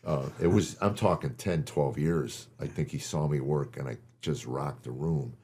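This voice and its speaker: An older man with a remarkably low, dark, mellow voice tells stories in a loose, rambling way. He stumbles and repeats words as he begins each thought, like a seasoned comedian chatting with friends. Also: normal speed, breathy edge. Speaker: guest comedian. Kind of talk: casual storytelling chat.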